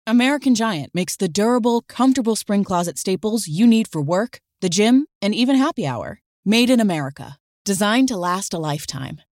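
Woman announcer, dry and mid-pitched. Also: animated, brisk, a little mellow, no echo. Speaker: advertisement announcer. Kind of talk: scripted commercial read.

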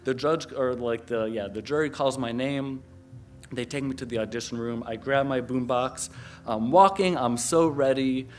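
A man from Connecticut tells a personal story on a live stage, animated and quick to laugh, his talk full of filler words. His pitch sits in an ordinary middle range. A faint ambient hiss runs underneath. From Connecticut, USA. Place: live stage before an audience.

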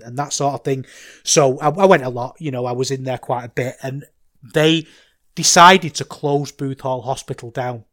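A middle-aged man with a Manchester accent, hosting a casual solo podcast, rambling and getting loud when excited. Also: medium pitch. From England. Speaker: podcast host.

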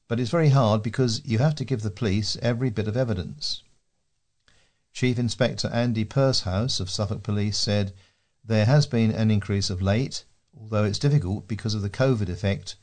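A man's voice: on the low side, a little mellow. A man reading local news aloud as a volunteer newsreader.

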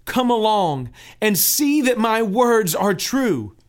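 A man's voice: high voice, big pitch swings, slow pace, voice pushed up, full character voice. A man voicing an earnest, devout male pilgrim who pleads and preaches with fervor. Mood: fervent. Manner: fervent, preaching.

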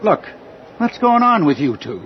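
An actor playing a skeptical, exasperated bus boss in an old radio drama, brusque and emphatic. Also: male voice, high-pitched, sing-song, unhurried, heavily acted. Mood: suspicious. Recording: dull, very noisy old radio recording.

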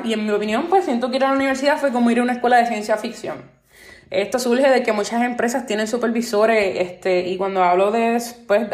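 A Puerto Rican woman in her twenties hosting her own podcast, talking earnestly with many fillers like "este" and "pues". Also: a little high. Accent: Puerto Rican Spanish. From Puerto Rico. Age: 20s. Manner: opinionated, earnest complaints about workplaces. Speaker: podcast host. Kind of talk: solo podcast monologue. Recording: roomy echo.

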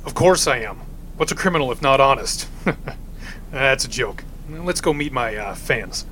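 A man's voice: medium pitch, animated, unhurried, bright, forceful tone, slight background noise. A man playing a cool, sardonic male supervillain in an audio drama, with a dry, joking delivery. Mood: cocky. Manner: dry, wisecracking.

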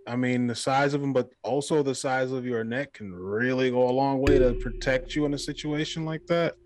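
A man co-hosting a podcast in a casual, profane chat, laughing often and breaking into bursts of fast talk. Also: medium pitch, steady tone, darkish tone. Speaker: podcast co-host.

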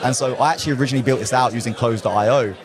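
A young English man talking casually and excitedly as a guest in an interview at a trade event, often laughing. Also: medium pitch, racing. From England. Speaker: guest, call center company owner. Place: busy conference floor. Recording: noisy crowded event hall. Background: crowd chatter.

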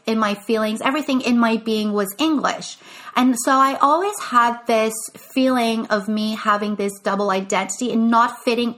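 A woman's voice: medium pitch, bright, forceful tone. A young woman from Quebec, a business coach, chatting casually on her podcast with many "like" fillers and restarts. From Quebec, Canada. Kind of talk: casual solo podcast talk.

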